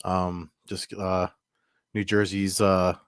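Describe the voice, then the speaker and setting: Guy with a stuffy nose chatting fight results, lowish voice, dry room sound. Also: flat delivery, slow pace, clean tone, dry recording. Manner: rambling, many fillers and restarts. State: congested, getting over a cold. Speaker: MMA news host. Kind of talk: casual solo commentary to camera. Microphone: camera mic.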